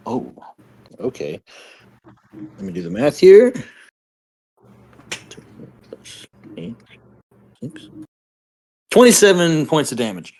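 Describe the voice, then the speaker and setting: Lively-pitched man at a game table. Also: slow pace, creaky, pauses often. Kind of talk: casual game chat.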